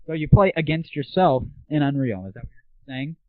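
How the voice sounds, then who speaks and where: A man's voice: on the high side, expressive, fairly quick, dry recording. A young man hosting a casual gaming audio show, chatting and asking questions as an interviewer. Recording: tinny, phone-like, band-limited 1990s internet audio.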